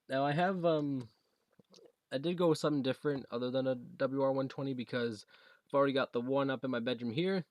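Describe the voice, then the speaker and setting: Young man, American accent, mid-pitched, casual unboxing chat, halting and rambling, dry acoustics. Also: dry recording. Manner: halting, rambling, reads box text aloud. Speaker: video creator showing a gadget. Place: basement room at home.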